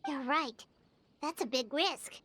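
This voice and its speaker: A woman voicing a small, excitable childlike fairy companion in a video game, squeaky and full of curious questions. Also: takes it slowly, exaggerated voice. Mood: thoughtful. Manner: expressive, inquisitive, blurting questions. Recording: music under the voice.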